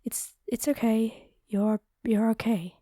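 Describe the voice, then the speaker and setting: Woman acting gentle vampire girl, slow and earnest. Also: on the high side, fairly even intonation, no room sound, studio quality. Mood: worried, soothing. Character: kind, encouraging young female vampire sparring fighter. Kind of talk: roleplay audio drama acting.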